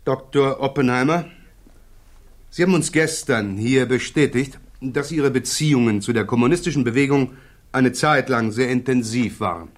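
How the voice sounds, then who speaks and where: An actor playing a probing, insistent prosecuting counsel in a German radio drama, speaking formally and precisely. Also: male voice, mid-range voice, moderate pace, lightly acted. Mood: probing, pressing. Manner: formal, precise, pressing interrogation.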